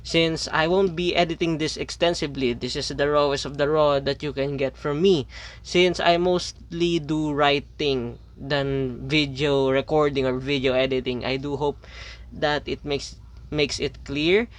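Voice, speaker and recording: A man whose first language is Filipino, talking through an unscripted product review. His voice sits a little high for a man, and he hunts for words with many fillers and restarts. He punctuates each section with a quick "okay". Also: fairly even intonation. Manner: repeats "okay" between points.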